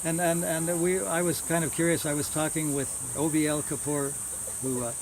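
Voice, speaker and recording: Man reminiscing haltingly over cricket noise. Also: fairly high pitch, level tone. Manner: halting, repeats words as he recollects. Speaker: religious lecturer. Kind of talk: informal reminiscing lecture. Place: housewarming gathering, small live audience. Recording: noisy outdoor-like recording with insects. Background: loud hiss and chirping crickets.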